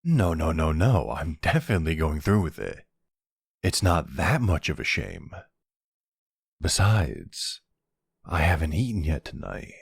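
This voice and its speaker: A flirty male vampire, acted by a man for an intimate ASMR roleplay, smug and sardonic. The voice is deep and gravelly, with lively, swooping intonation that teases and seduces. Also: unhurried, long pauses, no echo, clean studio sound, full character voice. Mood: wry, mildly exasperated, then seductive teasing. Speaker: audio roleplay voice actor.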